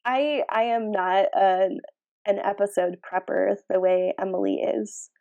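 Measured woman co-hosting a podcast. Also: medium pitch, fairly even intonation, warm tone, muffled recording. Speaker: podcast co-host. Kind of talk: casual analytical podcast discussion.

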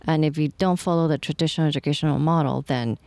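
Low, mellow-voiced woman on a podcast. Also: fairly even intonation, fast, no room sound. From Ecuador. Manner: thoughtful, with false starts and frequent agreement. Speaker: podcast co-host. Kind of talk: casual podcast conversation.

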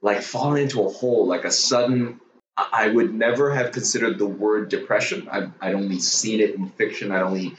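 Low-voiced man, halting and roomy. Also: fairly even intonation, slight background noise. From Hong Kong. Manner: halting, many restarts, joking. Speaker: guest. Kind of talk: casual interview chat. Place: home room with a dog. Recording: roomy, distant, dull sound.

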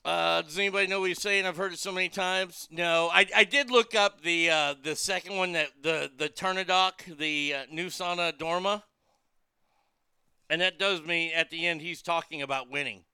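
Brash, bright-voiced talk radio host. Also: male voice, fairly high pitch, fairly even intonation, normal speed, clean tone, no echo. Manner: loose, irreverent, crude, reads listener messages aloud. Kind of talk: casual talk radio banter.

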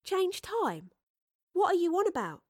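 A woman's voice: very high-pitched, huge pitch swings, a little slow, no room sound, clean studio sound, pitched above the performer's own voice, heavily acted. A woman playing a cheeky, feisty young Tudor tavern girl in an audio drama, brash and colloquial. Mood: agitated, puzzled.

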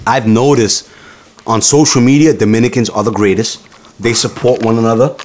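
A man hosting a podcast, talking crude and teasing, getting loud and excited. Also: moderate pace. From New York. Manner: loud, profane, teasing, jumps in pitch when excited. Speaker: podcast host. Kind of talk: crude casual banter.

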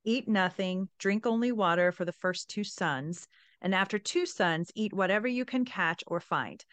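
A woman voicing a stern male warrior leader, reading formal instructions in a measured, commanding storybook manner. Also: medium pitch, fairly bright tone, no echo, lightly acted.

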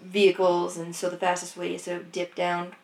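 Chatty low-voiced woman in an echoey room. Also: monotone, gravelly. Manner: chatty, quick storytelling. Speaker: podcast guest. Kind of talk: casual chat.

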